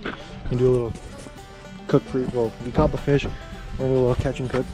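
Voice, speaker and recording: A man's voice: medium pitch, flat delivery, fast talker, over music, poor audio. A young man casually narrating as he fries fish he caught, talking to the viewers while he works outdoors on an action camera. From Canada. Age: young adult. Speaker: fishing vlogger. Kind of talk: casual outdoor cooking vlog. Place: outdoors at a lakeside parking area. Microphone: action camera, sometimes handheld at arm's length.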